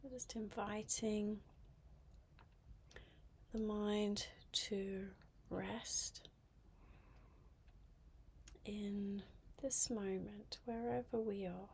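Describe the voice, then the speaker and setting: A woman guiding a mindfulness meditation in a soft, breathy, half-whispered voice, gently and with long pauses. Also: medium pitch, steady tone. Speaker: meditation guide.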